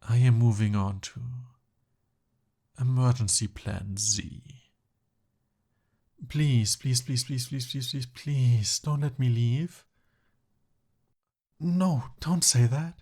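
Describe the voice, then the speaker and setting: Man playing a haughty, flustered young prince, unhurried. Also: mid-range voice, mellow. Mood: desperate pleading. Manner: haughty formal speech, flustered and pleading. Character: pompous, pleading young human prince held by a dragon. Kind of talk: ASMR roleplay voice acting.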